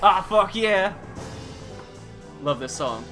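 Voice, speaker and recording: A man with a fairly high, bright voice streams a game. His commentary is casual and off the cuff, with music playing behind him. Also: animated, slow pace. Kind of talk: casual live commentary on gameplay.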